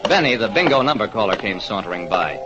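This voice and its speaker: An actor playing a terse American private detective, narrating an old radio crime drama. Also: male voice, fairly low pitch, very animated, fast talker. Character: wry, quick-thinking American private detective. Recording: dull vintage radio recording, music behind.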